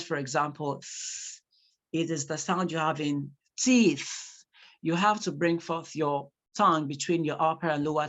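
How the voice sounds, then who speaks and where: An instructor with a Nigerian accent, a native Yoruba speaker, lecturing a class in an animated, emphatic way. Important phrases come back twice so that they sink in. The voice sits at an ordinary, middle pitch. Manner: animated, repeats key points for emphasis.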